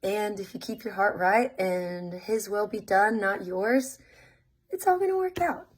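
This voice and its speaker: A woman speaking softly and with faith, giving gentle spiritual encouragement with many fillers. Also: mid-range voice, a little slow.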